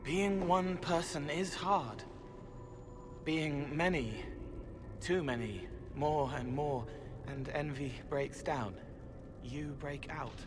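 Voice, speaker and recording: A man voicing a gentle, eerie young spirit in a video game, speaking in halting, poetic fragments. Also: fairly high pitch, background noise. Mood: earnest, cryptic. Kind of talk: dramatic video game acting. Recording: music behind the voice.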